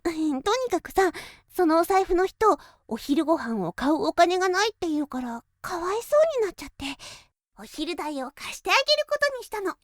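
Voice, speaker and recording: A woman's voice: very high-pitched, very animated, a little fast, no echo, clean studio sound. A woman playing an easygoing, lazy but kindhearted teenage schoolgirl in an anime-style voice drama, cutesy, naive and breezy. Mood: explaining.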